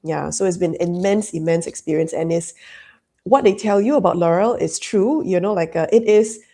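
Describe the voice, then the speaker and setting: A woman's voice: fairly low pitch, normal speed, mellow. A woman, a consultant answering interview questions hesitantly, with many fillers. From Malaysia. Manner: hesitant, full of "um" and "you know, like" fillers. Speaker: business consultant, guest.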